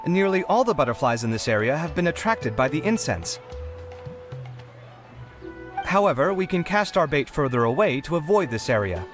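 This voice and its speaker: A man's voice: fairly high pitch, very animated, normal speed, long pauses, music in the background. A man voicing an earnest, courteous young assistant in an anime game.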